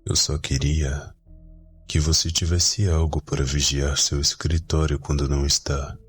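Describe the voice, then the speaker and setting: An extremely low, slow-talking vampire brother acted by a man, grumpy and curt with a soft heart underneath. He speaks in an ASMR roleplay drama with music behind him. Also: level tone, husky, exaggerated voice. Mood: bashful, sincere. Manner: curt, grumbling, dismissive. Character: grumpy young vampire brother, secretly affectionate, gruff.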